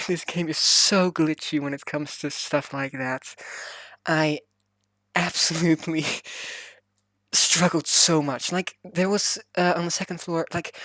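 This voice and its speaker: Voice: medium pitch, a bit bright, no echo. Nervous, giggly Dutch-accented narrator. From Netherlands. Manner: nervous, giggly, lots of fillers and restarts. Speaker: video game speedbuild narrator. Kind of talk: casual voiceover over gameplay.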